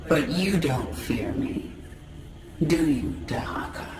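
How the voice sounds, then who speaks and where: A woman's voice: low-pitched, expressive, slow. A woman playing a commanding, defiant alien-hybrid warlord in a video game, with a cool, threatening manner and an echoing processed voice. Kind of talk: video game character acting. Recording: echoing, loud music behind.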